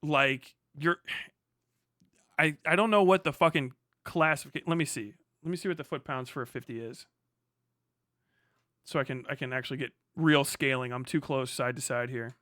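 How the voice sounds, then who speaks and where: A man livestreaming casually, muttering figures as he reads and thinking aloud in halting bursts with plenty of swearing. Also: mid-range voice, sharp tone, dry recording, clean studio sound. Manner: swears freely. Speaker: live streamer.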